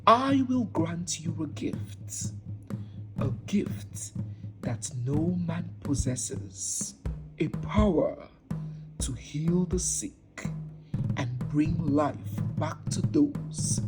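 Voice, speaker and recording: A woman voicing a mysterious, benevolent forest spirit in a folktale, soft but powerful, with solemn, weighty phrasing. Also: low voice, lively intonation, drawn-out words, over music, exaggerated voice.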